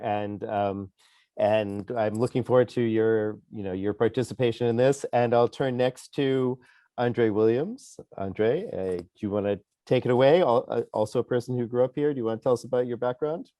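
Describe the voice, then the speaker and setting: A man moderates a video-call panel in a mellow, dark voice recorded dry and close. He speaks in a welcoming, unhurried way. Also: mid-range voice, no room sound.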